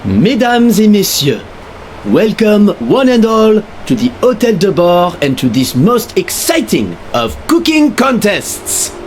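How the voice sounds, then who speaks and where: A man's voice: high voice, wildly swooping pitch, takes it slowly, a little hiss or hum, exaggerated voice. A man playing a grand, formal showman hosting a cooking contest in a video game. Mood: grand, welcoming. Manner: theatrical, formal announcing.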